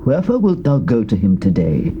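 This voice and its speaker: An actor playing a plain biblical servant, speaking in a measured, matter-of-fact way in a dull, hissy old tape recording. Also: male voice, on the low side, wildly swooping pitch, warm tone. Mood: questioning. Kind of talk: dramatized scripture reading.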